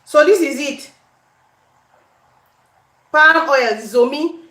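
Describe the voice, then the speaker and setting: A woman with a Cameroonian accent and a high voice talks in an echoey kitchen. She is hosting a casual cooking chat, speaking to viewers in an animated, conversational way and often repeating herself for emphasis. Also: very slow. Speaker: cooking vlogger. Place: indoor home kitchen. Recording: echoey room.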